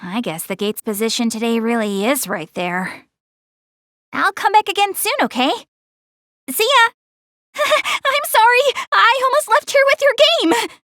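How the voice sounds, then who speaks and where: A woman's voice: extremely high pitch, very animated, measured pace, sharp tone, dry recording, studio quality, exaggerated voice. A woman voicing a young anime goddess girl in a video game, proud, chatty and earnest, easily flustered. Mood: huffy, then bubbly, playful. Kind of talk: English anime video game character dialogue.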